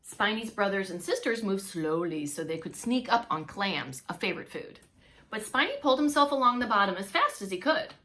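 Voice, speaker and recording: A woman reading a story aloud to young children as a preschool teacher, expressive, with playful asides. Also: mid-range voice, a little fast, a little hiss or hum. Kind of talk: animated read-along children's storytelling.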